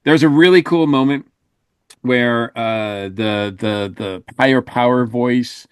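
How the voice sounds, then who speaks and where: A man gives a casual review in a medium-pitched voice. He rambles along with emphatic enthusiasm. The sound is dry and close, without room echo. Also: slow pace, smooth. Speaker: podcast panelist reviewing audio dramas.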